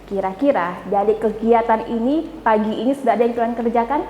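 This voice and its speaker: A woman's voice: a little high, steady tone, fairly quick, mellow, muffled recording, slight background noise. Chatty female lecturer, echoey classroom. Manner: chatty, often asks "ya kan?" for agreement. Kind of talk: classroom lecture with questions to students. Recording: echoey classroom with long reverb.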